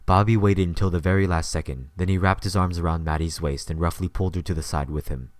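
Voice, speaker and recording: Very deep-voiced male narrator, dry sound. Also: fairly even intonation, quick pace, dry recording. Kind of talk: scripted story narration.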